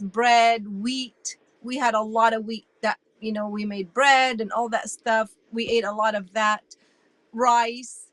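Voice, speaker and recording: A woman in her sixties, originally from Iraq, telling her story as an interview guest in an animated, emphatic way. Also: on the high side, dry recording. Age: 60s. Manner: animated and emphatic, with frequent restarts.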